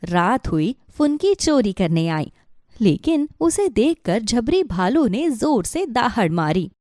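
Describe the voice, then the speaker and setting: Woman, scripted storybook narration, highish pitch, lively intonation, dry studio sound. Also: takes it slowly, dry recording.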